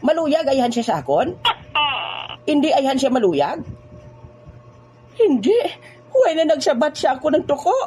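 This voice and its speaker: A woman's voice: high-pitched, noisy background. An actress playing a lonely aging woman who laughs often and teases her friend in a comic audio drama. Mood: wistful. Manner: laughs a lot, teasing and wistful. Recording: background music.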